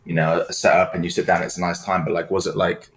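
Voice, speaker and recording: A man's voice: sits low, little pitch movement, fast, slight background noise. A man of twenty-five chatting on a podcast, curious and teasing as he asks questions. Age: 20s. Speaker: podcast panelist. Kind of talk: casual chat.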